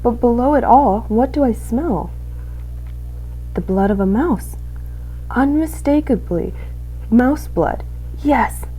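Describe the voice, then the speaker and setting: A woman's voice: fairly high pitch, animated, takes it slowly, pauses often, mellow, breathy edge, pitched above the performer's own voice, full character voice, low-quality recording. A woman voicing a sinister, gleeful male rat in a storybook, savoring each phrase with theatrical self-questioning. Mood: gleeful relish. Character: devious one-eared male dungeon rat, gleefully sinister.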